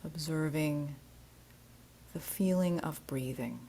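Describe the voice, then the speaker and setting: Female yoga nidra teacher, low register, very measured pace, gentle and soothing guided-meditation delivery. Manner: soothing, gentle, unhurried instruction.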